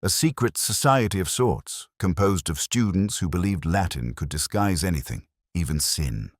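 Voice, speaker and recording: A man narrating a scripted detective story as a brooding police inspector, in a measured, solemn, literary way. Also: low voice, animated, dry recording, clean studio sound.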